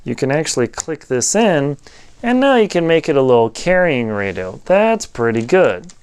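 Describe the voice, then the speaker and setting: A man giving a casual, unscripted product review, talking in a halting way as he thinks aloud while demonstrating. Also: fairly high pitch, very animated, a little mellow, smooth.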